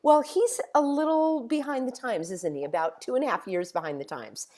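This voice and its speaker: A middle-aged woman hosting a motivational monologue in a hoarse voice, stringing together emphatic rhetorical questions. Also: on the high side, moderate pace, darkish tone. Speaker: host.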